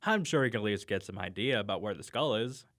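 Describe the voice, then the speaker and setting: A man's voice: on the low side, animated, quick pace, fairly bright tone. A young man playing a reluctant, whiny, exasperated college student sidekick in an audio drama. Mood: concerned.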